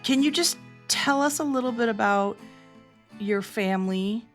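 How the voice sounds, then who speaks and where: A woman hosting a podcast with measured, articulate scripted narration and interview questions. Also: a little high, faint music behind. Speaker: podcast host, healthcare executive.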